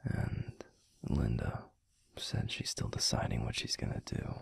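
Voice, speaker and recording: A man playing a gentle, protective werewolf boyfriend in an intimate audio roleplay, murmuring softly and soothingly with a breathy, gravelly voice. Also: whispered, close to an everyday voice. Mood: subdued, matter-of-fact. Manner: slow, soothing, unhurried. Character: gentle, protective adult male werewolf boyfriend, pack leader.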